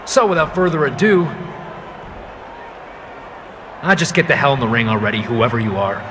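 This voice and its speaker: A man's voice: medium pitch, very animated, quick pace, lightly acted. A man playing a cocky, sarcastic champion wrestler in a video game cutscene, with a dry, wisecracking delivery. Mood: dry irritation. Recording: background noise in game scenes.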